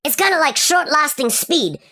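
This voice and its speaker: A woman's voice: high-pitched, animated, normal speed, sharp tone. A woman playing a blunt, unashamed alien drug dealer who overshares in a matter-of-fact, slangy way, in an amateur sci-fi comedy radio play. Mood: casual, blunt.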